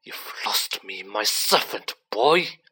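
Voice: mid-range voice, wildly swooping pitch, slow pace, bright, forceful tone, breathy edge, voice pushed up, heavily acted. A storybook reader voicing a haughty aristocratic male villain with a sneering, drawling, menacing manner. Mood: furious.

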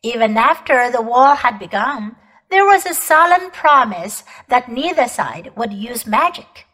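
A woman reader voices a cruel, ancient queen who speaks as though the whole world were hers. The storybook voice is high and lilting, rising and falling widely. She delivers every line slowly, as a grand, haughty declamation. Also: very animated, fairly bright tone, exaggerated voice, rough recording. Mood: proud. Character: proud, ruthless ancient queen, tall and imperious. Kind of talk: audiobook character reading.

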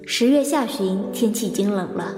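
An actress plays a woman investigator in a reverberant radio drama, with music underneath. She is composed and probing, and her delivery is measured and introspective, in a mid-pitched voice. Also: animated, darkish tone, natural voice. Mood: reflective. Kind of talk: audio drama narration and dialogue. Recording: echoey long reverb, music behind.

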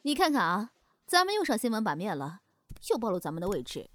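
A woman's voice: mid-range voice, wildly swooping pitch, quick pace, fairly bright tone, a little hiss or hum. A woman playing a poised, teasing keeper of a magical inn in an audio drama, self-assured and probing. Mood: grumbling. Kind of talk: Chinese audio drama acting. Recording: faint music behind the voice.

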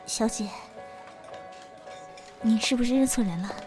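A woman playing a soft-spoken, tender young woman in a radio drama, gentle and polite. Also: fairly high pitch, unhurried, natural voice. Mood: polite, subdued. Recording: music behind the voice.

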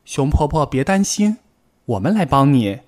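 A man voicing a small excitable male rabbit in a storybook, with agitated, squeaky outbursts. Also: normal speed. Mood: eager.

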